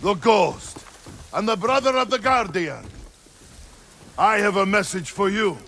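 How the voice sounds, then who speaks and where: A high-pitched, gravelly Mongol warrior delivers threats with deliberate menace. He is a video game envoy, heard with some noise of rain behind him. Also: expressive, a bit bright, heavily acted. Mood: menacing. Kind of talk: video game cutscene acting.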